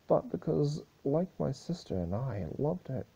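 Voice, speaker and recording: A man's voice: fairly high pitch, big pitch swings, a little slow, vocal fry, mellow, breathy edge, pitched above the performer's own voice, heavily acted, poor audio. A man voicing a grieving, furious female pony ruler in a solemn, accusing speech-like manner. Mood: solemn grief.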